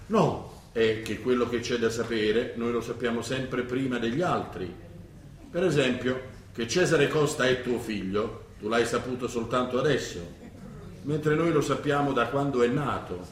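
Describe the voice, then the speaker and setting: An actor playing a wily, unctuous older cardinal in an Italian radio drama, measured and preachy. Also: male voice, medium pitch, lightly acted. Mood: stern, insinuating. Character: wily, unctuous high-ranking cardinal, male, older, coolly manipulative. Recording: echoey stage recording, distant mic, hiss.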